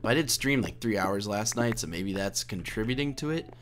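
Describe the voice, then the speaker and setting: A slightly hoarse man giving a casual, rambling let's play commentary, thinking aloud and correcting himself as he goes. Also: fairly low pitch, animated, a little fast, fairly bright tone, slight background noise. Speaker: video game let's play host.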